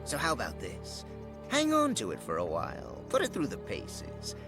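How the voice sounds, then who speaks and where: Man playing a smooth-talking, animated scheming scientist. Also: mid-range voice, normal speed, music in the background. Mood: coaxing. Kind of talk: anime-inspired audio drama acting.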